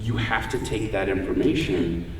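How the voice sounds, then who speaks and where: A deep-voiced man talks to a convention crowd in an easy, joking way. His voice sits low, and it rings through a big echoey hall. He sounds set back from the microphone. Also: moderate pace, a little hiss or hum. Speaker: voice actor giving a panel. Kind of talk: convention panel talk. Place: large convention hall room. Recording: echoey hall, voice far from mic.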